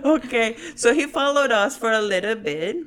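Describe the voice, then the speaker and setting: A woman's voice: a little high, expressive, moderate pace, a bit bright. A woman in her thirties whose first language is not English, telling a self-mocking story and laughing often while co-hosting a casual podcast chat. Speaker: podcast co-host.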